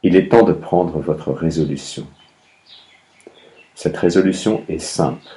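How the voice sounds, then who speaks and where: A man guiding a meditation as a yoga nidra instructor, speaking calmly in measured instructions with long pauses between phrases. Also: low voice. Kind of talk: guided meditation, slow instructions.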